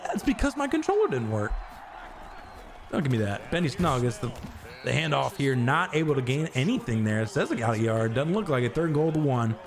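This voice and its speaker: A man doing live play-by-play commentary on a video game stream, getting loud on big plays and chatting with viewers between them. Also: a little fast, a crowd in the background. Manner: excitable, jumps to high shouts on big plays.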